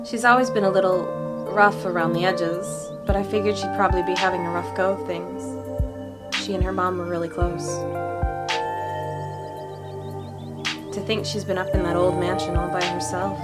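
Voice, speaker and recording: A woman's voice: fairly low pitch, little pitch movement, fairly quick, loud music behind the voice, natural voice. A woman voicing a friendly, chatty, playful young woman from a small town in a visual novel fan dub. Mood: fond, reflective.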